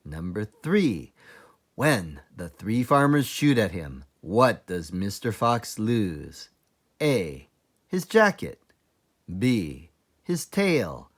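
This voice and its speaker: A man, a teacher librarian, reading a children's book aloud in a deliberate, expressive storytelling manner. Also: on the low side. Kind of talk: children's read-aloud narration.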